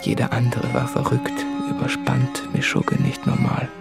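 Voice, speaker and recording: Anguished, gravelly male writer. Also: very high-pitched, wildly swooping pitch, moderate pace, loud music behind the voice, heavily acted. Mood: bitter, reflective. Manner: brooding, intimate, often sinking into whispers. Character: anguished, self-tormenting male writer confessing in letters. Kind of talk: literary radio drama monologue.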